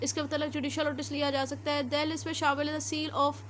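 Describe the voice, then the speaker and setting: Very high-pitched Pakistani woman lecturing. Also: little pitch movement, normal speed, slight background noise. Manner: explanatory, switches between Urdu and English. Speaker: law lecturer. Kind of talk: lecture, reading statutes then explaining them.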